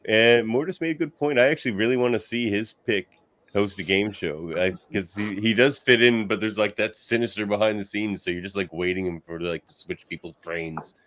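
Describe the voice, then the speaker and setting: A very deep-voiced man hosting a laid-back debate show. He talks loosely, tossing in quick asides and laughing between turns. The delivery stays relaxed and conversational. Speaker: podcast host.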